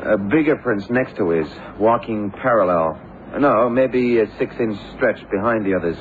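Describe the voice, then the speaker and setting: Detective's sidekick played by a man, lowish voice, deferential and factual, on a tinny old radio recording. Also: animated, moderate pace, noisy background, lightly acted. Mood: matter-of-fact. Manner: matter-of-fact, reporting details. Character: observant, deferential male assistant Johnny, matter-of-fact. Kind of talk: 1970s radio crime drama acting.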